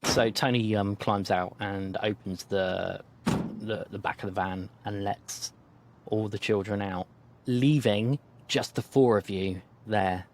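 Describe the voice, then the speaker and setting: A man narrating as game master in a relaxed way, with lots of filler. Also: fairly low pitch, slow. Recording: clear background noise.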